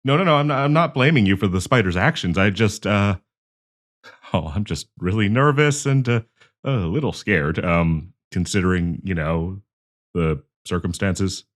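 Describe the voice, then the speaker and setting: A man's voice: low voice, normal speed, lightly acted. A man playing a nervous, awkward young man shyly confessing love to a friend in an audio roleplay, stammering and hesitant. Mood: flustered, nervous. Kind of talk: intimate audio roleplay acting.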